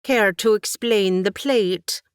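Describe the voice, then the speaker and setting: A woman's voice: mid-range voice, takes it slowly, clean tone, no room sound, clean studio sound, pitched above the performer's own voice, lightly acted. A woman doing shrewd Irish aunt. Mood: probing. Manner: probing, lilting Irish turns of speech. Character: widowed Irish aunt, shrewd, stern but kind.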